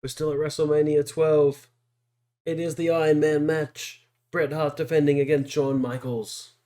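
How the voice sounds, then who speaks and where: Slightly high-voiced male gameplay host. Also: level tone, moderate pace, mellow. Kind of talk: casual gameplay commentary.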